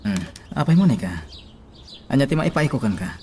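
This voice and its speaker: A man acting a calm, attentive priest in an Ilocano radio drama. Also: mid-range voice, normal speed, a little hiss or hum.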